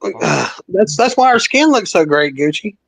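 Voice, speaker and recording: A woman's voice: fairly low pitch, big pitch swings, measured pace, creaky, husky, no room sound. A woman chatting casually on a video call, giggly and teasing. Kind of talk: casual group chat on a live stream.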